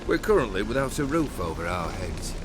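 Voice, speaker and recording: A man's voice: sits low, very animated, fairly quick, background noise. An actor playing an imperious, mercurial bandit nobleman in a video game, taunting and theatrical in his delivery. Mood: mocking, lordly. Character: haughty, mercurial male bandit nobleman, mocking and commanding.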